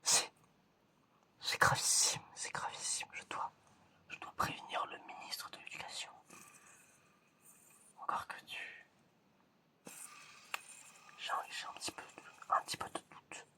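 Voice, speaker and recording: Very slow, whispering ASMR roleplayer. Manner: slow, hesitant, repeats phrases. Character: eccentric examiner testing whether the listener is human. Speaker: ASMR performer.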